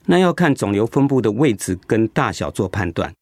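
An actor playing a kind, steady male oncologist in a radio drama, explaining things in a reassuring way. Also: fairly low pitch, a little fast, dry recording, studio quality. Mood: reassuring, firm. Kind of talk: Mandarin radio drama acting.

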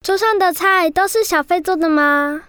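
A woman's voice: very high-pitched, normal speed, a bit bright, no room sound, clean studio sound. A woman playing a polite, curious, easily amazed schoolchild in an educational radio drama.

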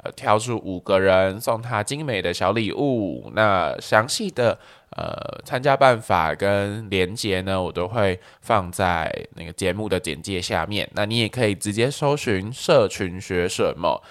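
A man's voice: on the low side, expressive, measured pace, a bit bright. A man with a Taiwanese accent hosting a podcast, explaining things in a casual way. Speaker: podcast host.

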